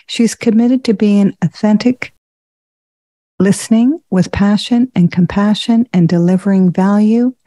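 A woman hosts a podcast interview, reading her guest's introduction in a measured, formal way. Her tone is mellow and the sound is dry, with no room around it. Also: medium pitch, no room sound. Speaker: podcast host.

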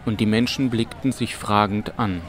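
Low-voiced male fantasy audiobook narrator. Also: steady tone, moderate pace. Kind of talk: scripted fantasy story narration. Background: music and ambience.

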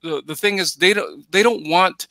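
A middle-aged man from the United States chatting casually, halting and repeating himself as he tells his story. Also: sits high, gravelly, no room sound. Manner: halting, repetitive, animated storytelling. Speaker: podcast guest and co-commentator. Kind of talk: casual chat. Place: plain room at home, video call.

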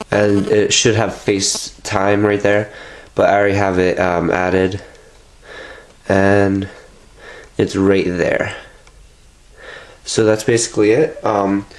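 A young man with a stuffed-up nose from a cold, walking through a tech how-to in a loose, chatty way full of filler sounds, recorded indoors at home on a nearby camera. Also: lowish voice. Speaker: tech tutorial host. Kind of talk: casual step-by-step how-to.

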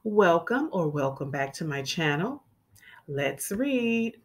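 A woman reading a children's storybook aloud as a teacher would, expressively and with clearly enunciated words for young listeners. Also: on the low side, big pitch swings, slow.

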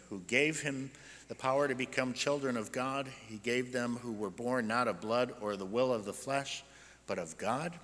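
An American man in his sixties preaching a conversational, explanatory sermon as a pastor, animated and full of rhetorical questions. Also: medium pitch. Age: 60s. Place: indoors at a church pulpit. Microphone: gooseneck pulpit microphone. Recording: reverberant church sanctuary.

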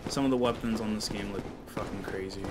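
A man's voice: on the low side, steady tone, quick pace, heavy background noise. A man with a young-sounding voice giving casual, profane commentary as he plays a video game, rambling with fillers and laughing often. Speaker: let's play gamer.

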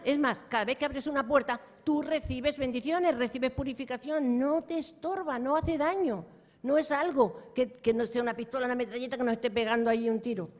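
A woman's voice: high-pitched, a little mellow. An older woman speaking as a conference panelist, blunt and emphatic, at a table mic in a large conference hall. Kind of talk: panel talk and Q&A answers.

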